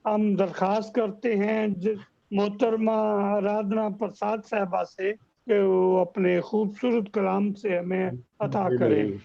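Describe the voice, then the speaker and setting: A man's voice: high-pitched, slow, gravelly, dark tone. A man moderating a mushaira, introducing a poet in courteous, formal Urdu over a video call. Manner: courteous, chimes in repeating verse endings. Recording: dull lo-fi call audio.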